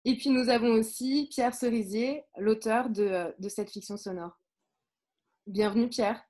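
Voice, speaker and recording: A woman moderating and presenting at a live event, speaking a little haltingly. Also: fairly high pitch, fairly even intonation, a little mellow.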